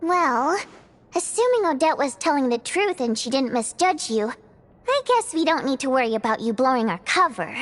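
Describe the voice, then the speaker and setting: A lively young stage performer in a video game. Her voice rides high and swings widely in pitch. She sounds wary and probing, always turning things into questions. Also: moderate pace. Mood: curious. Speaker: game character. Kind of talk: game voice acting.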